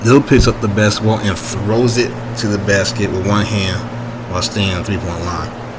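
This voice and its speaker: A man reading his own story informally, haltingly, with asides and frequent rereading to correct himself. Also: lowish voice, steady tone, background noise.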